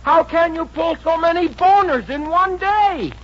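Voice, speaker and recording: An actor playing an earnest, excitable young fiancé in a 1950s radio comedy, with a boyish manner. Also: male voice, very high-pitched, unhurried. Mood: exasperated. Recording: dull, noisy old radio recording.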